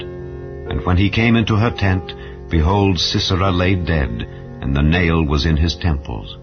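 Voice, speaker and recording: A man narrating a dramatized Bible reading in a solemn, formal, measured way. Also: low voice. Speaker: scripture narrator. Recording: slightly dull audio with music underneath. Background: background music.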